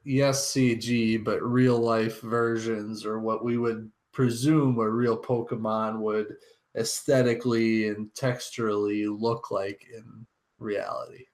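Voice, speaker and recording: A man co-hosting a casual pop-culture podcast, musing informally and haltingly as he works out his thoughts. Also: on the low side, flat delivery, darkish tone. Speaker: podcast co-host.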